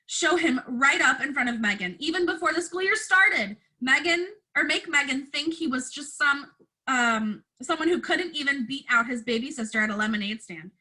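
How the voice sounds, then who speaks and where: High, bright-voiced woman reading a story. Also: lively intonation, normal speed, low-quality recording. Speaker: teacher reading aloud to first graders. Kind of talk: storybook read-aloud, expressive narration.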